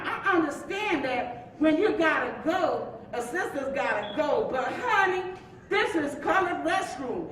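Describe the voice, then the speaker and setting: Actress doing a sassy gossipy 50s married lady, high swoopy voice, stage reading, super echoey hall. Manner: animated, gossipy storytelling with folksy endearments. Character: sassy, chatty married woman friend in the 1950s. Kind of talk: live stage reading of a play. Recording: echoey hall from far off, faint rumble.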